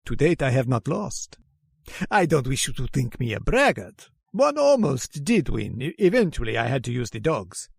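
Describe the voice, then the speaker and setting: Aristocratic villain general, gravelly, higher-pitched, swooping intonation, courteous menace, old-time radio horror. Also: male voice, huge pitch swings, normal speed, no echo, clean studio sound, heavily acted. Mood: smug, measured. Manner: suave, courteous, theatrically menacing. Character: genteel, sinister aristocratic general who hunts humans.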